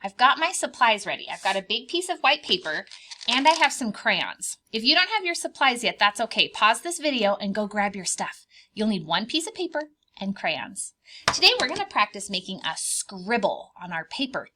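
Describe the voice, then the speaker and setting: A woman's voice: on the high side, very animated, moderate pace, pushed and bright, slight background noise. A woman preschool teacher leading a children's art lesson step by step, speaking in simple, child-directed language with encouraging questions and repeated phrases.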